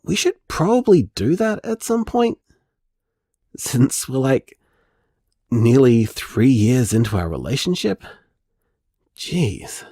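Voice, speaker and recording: An actor playing a thoughtful, awkwardly candid boyfriend in an audio drama, talking in a casual, rambling way. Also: male voice, mid-range voice, vocal fry, darkish tone, breathy edge, dry recording, studio quality, heavily acted. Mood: sheepish, bemused. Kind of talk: audio drama voicemail monologue.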